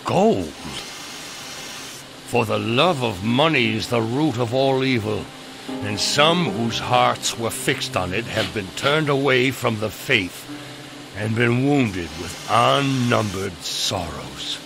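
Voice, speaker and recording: An actor playing a grim, vengeful old gunslinger turned preacher in a video game, gravelly and solemn, quoting scripture with menace. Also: male voice, medium pitch, animated, slow pace, music in the background. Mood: stern, solemn. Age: older.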